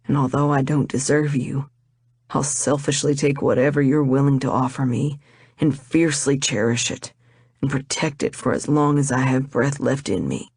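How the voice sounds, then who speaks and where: A woman voicing an earnest young man proposing, speaking softly and deliberately. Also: a bass voice, steady tone, breathy edge, no echo, clean studio sound, pitched below the performer's own voice, heavily acted. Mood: tender. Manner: soft, heartfelt, deliberate.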